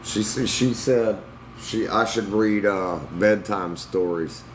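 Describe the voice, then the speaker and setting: A man's voice: fairly low pitch, takes it slowly. A young man talking bluntly and defensively to his livestream chat. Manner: blunt, defensive, Southern-tinged slang. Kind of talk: casual livestream talk to chat. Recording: noisy room with air conditioning hum.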